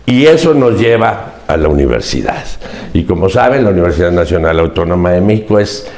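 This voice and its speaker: An older Mexican man with a low voice speaks as a podcast guest. He talks earnestly and emphatically, often circling back to repeat his main points. The recording is roomy, with a noticeable echo. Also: very animated, fairly quick, a little mellow. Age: 70s. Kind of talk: reflective interview storytelling.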